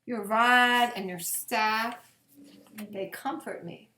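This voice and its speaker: Slow-paced woman reading in an echoey room. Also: a little high, pushed and bright. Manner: measured, deliberate phrasing with long pauses. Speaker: pastor reading bedtime stories for children. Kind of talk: gentle read-aloud of scripture picture books.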